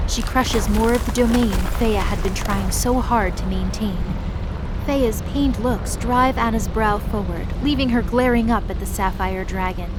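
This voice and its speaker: A woman's voice: mid-range voice, heavy background noise. A woman reading scripted narration for an audio drama. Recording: loud music behind the voice. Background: loud music.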